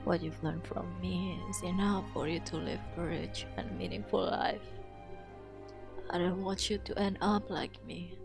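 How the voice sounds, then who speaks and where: A woman's voice: lowish voice, unhurried, creaky. A woman voicing a weary, solemn old male mentor in a storybook reading, with a grave delivery. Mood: weary warning. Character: weary, nearly blind old male musket teacher, solemn. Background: music.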